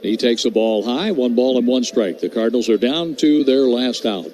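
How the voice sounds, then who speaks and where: A man calling a baseball game on radio, crisply reeling off stats and calling each pitch. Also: normal speed, darkish tone, slight background noise. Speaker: play-by-play announcer.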